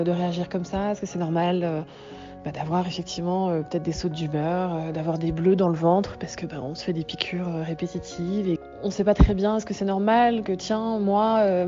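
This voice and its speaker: A woman giving a candid, halting personal testimony full of fillers; French is not her first language. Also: medium pitch, warm tone. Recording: dull lo-fi sound.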